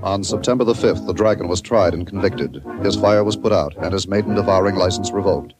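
An actor playing a solemn, formal announcer in a 1950s parody of a police radio drama. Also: male voice, low-pitched, a little fast, natural voice, low-quality recording. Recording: 1950s record, loud brass music.

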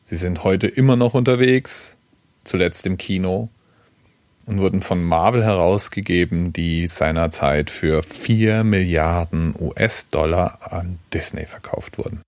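Very low-voiced man, wry podcast host. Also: unhurried, darkish tone, no room sound. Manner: wry, tongue-in-cheek. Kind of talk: casual, chatty podcast commentary.

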